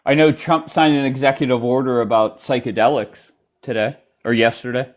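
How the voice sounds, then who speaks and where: Male livestream host, mid-pitched, blunt and probing with callers. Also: fairly even intonation, moderate pace. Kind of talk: casual live debate chat.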